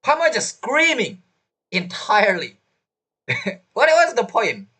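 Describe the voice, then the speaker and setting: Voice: mid-range voice, huge pitch swings, takes it slowly, pushed and bright. A streamer giving casual gameplay commentary, joking and teasing as they talk back to characters.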